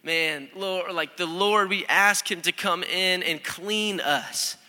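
Bright, lively young pastor in a reverberant hall. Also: male voice, high-pitched, takes it slowly. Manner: conversational, energetic, with repeated restarts. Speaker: student pastor. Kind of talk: sermon. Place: church sanctuary.

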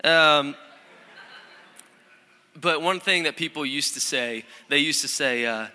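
A young man, a student pastor, preaching a conversational, energetic sermon with frequent restarts, recorded in a church sanctuary. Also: fairly high pitch, takes it slowly. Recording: long hall reverb.

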